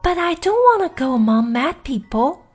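Woman putting on an earnest, high-pitched little girl. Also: big pitch swings, moderate pace, soft background music. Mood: doubtful, questioning. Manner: proper, emphatic, questioning. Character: earnest, polite, inquisitive young girl. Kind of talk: storybook character acting.

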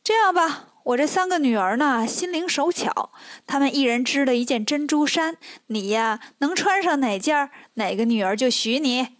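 Woman as a crafty, high-voiced matchmaking auntie. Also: lively intonation, moderate pace, no room sound. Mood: coaxing. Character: crafty, coy middle-aged mother-in-law matchmaking three daughters.

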